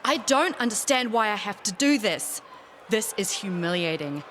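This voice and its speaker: A woman's voice: medium pitch, lively intonation, moderate pace, a bit bright, a little hiss or hum, close to an everyday voice. A woman playing a defiant, scheming young pro wrestler in a video game cutscene. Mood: indignant, sullen.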